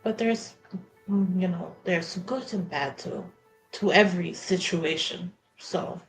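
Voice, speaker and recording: Slow, hesitant woman playing a tabletop game. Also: on the low side, poor audio. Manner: hesitant, breaking off and rephrasing. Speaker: tabletop roleplaying player. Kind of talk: casual in-character and out-of-character game chat. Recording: background music.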